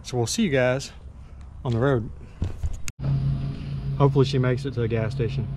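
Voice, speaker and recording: A man's voice: mid-range voice, steady tone, moderate pace. A man in his fifties vlogging casually to an action camera on his motorcycle trip, easygoing and self-mocking as he talks between rides and at gas stops. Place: outdoors, riding a motorcycle and at gas stations. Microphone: action camera mounted on the bike. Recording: loud wind and road noise. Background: loud road and engine noise.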